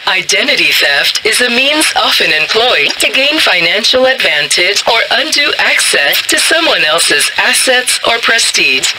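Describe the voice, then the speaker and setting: Noisy instructional tape, detached woman narrator. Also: medium pitch. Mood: detached, formal. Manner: formal, detached, didactic. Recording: noisy tape or radio playback.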